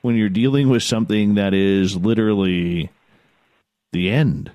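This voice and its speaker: A man's voice: lowish voice, measured pace, warm tone, dry recording, muffled recording. A middle-aged Canadian man hosting a late-night radio interview, easygoing and frank, joking about himself. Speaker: radio host.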